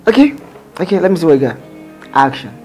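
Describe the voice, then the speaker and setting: A man playing a pushy, excitable casting director who repeats himself. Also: on the high side, quick pace, soft background music. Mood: excited, amused. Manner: exclamatory, pushy, repetitive.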